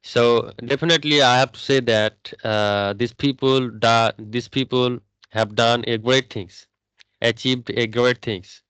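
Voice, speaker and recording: Man, smooth and close. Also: mid-range voice, fairly even intonation, a bit bright, no room sound, poor audio. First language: Bengali. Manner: halting, many restarts and fillers. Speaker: English learner. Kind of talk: casual English practice chat.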